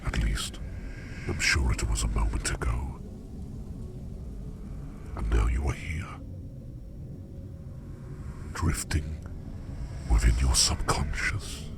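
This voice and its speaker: A man playing a curious, gentle sleep demon in a whispered ASMR roleplay, speaking formally and in measured phrases with a gravelly, breathy voice. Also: rumbling low, noisy background, full character voice. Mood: curious, soft. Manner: slow, measured, formal old-fashioned diction.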